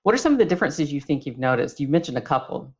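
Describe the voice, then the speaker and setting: A man hosting a casual interview, affirming what he hears and haltingly rephrasing his questions as he goes. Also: a little high, sing-song. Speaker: interviewer, host.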